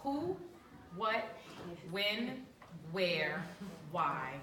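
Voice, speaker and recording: A woman speaking on a panel, animated and emphatic as she tells stories with punchy endings. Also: medium pitch, drawn-out words, a crowd in the background. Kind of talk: panel discussion. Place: event room with live audience. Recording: echoey room, distant mic, audience noise.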